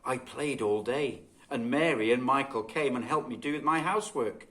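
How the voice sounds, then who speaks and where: A man reading a children's story expressively and dramatically, with deliberate phrasing. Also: medium pitch, fairly bright tone. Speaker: guest storybook reader.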